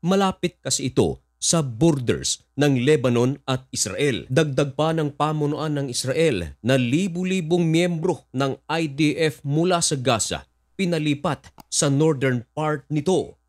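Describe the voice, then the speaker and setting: Higher-voiced Filipino man narrating news. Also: animated, a little slow. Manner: addresses listeners directly, explanatory. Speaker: news commentary host. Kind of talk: scripted news narration with commentary.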